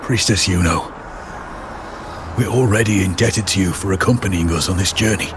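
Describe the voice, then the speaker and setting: An actor voicing a solemn, resigned squad leader in a game, speaking earnestly. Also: male voice, sits low, moderate pace, noisy background, lightly acted. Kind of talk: game voice acting.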